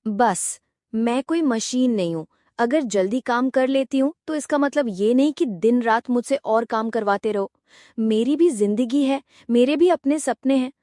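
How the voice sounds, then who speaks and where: A woman's voice: fairly high pitch, unhurried, warm tone, dry recording, clean studio sound, natural voice. A woman voicing a hardworking young daughter-in-law in a cartoon story, firm and indignant. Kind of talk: cartoon voice acting.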